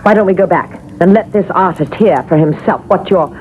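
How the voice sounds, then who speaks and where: Vain ghostly beauty on tinny old radio, played by an actress. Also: female voice, fairly low pitch, a little fast, close to an everyday voice. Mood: indignant pride. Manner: haughty, theatrical, teasing. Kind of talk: radio drama acting. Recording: noisy, narrow-band vintage radio broadcast.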